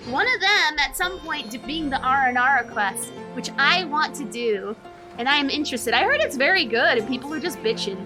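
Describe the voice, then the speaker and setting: A woman's voice: high-pitched, expressive, normal speed, over music. A woman live-streaming a game with casual, slangy, reactive commentary and offhand swearing.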